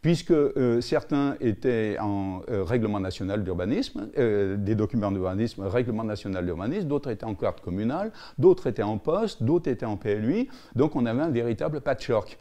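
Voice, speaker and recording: A man's voice: fairly low pitch, expressive, normal speed, few pauses, mellow. A man recounting experience in an interview, spontaneous and sometimes searching for his words.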